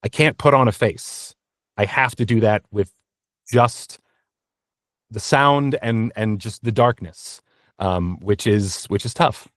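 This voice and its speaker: A man speaking as an interview guest about his work as a voice actor, in a reflective, halting, self-deprecating way from a small booth. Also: on the low side, a bit bright, no echo, clean studio sound. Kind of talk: candid interview talk. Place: small blanket-fort recording booth.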